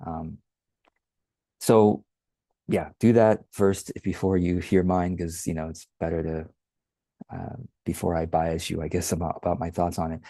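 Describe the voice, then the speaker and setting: A middle-aged man from Taiwan hosting his own channel, reflecting aloud in a halting, thoughtful way with many restarts. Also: sits low, level tone, warm tone, dry recording. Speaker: channel host. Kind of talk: reflective personal monologue.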